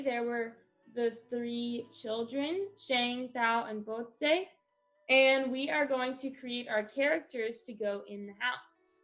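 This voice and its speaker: A woman presenting a casual step-by-step craft tutorial for children, speaking in an instructional way with pauses between phrases. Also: fairly high pitch, pushed and bright, highs cut off. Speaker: craft presenter for a children's read-aloud project.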